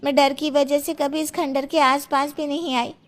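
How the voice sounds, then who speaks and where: Woman voicing a timid, high-pitched young village woman. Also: little pitch movement, moderate pace, close to an everyday voice. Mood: fearful. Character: timid, worried young village sister-in-law.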